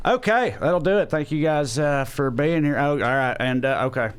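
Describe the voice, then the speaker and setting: A man's voice: a little high, moderate pace, clear, resonant tone. A man, hosting a comedy podcast with casual, rambling storytelling that often doubles back mid-sentence.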